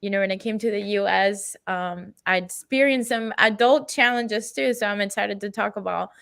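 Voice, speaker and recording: A woman from Venezuela speaking as an interview guest. Her voice has a gravelly, fry-tinged edge, and the recording is close and dry. She tells her story openly and laughs easily. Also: medium pitch, moderate pace, a bit bright, dry recording.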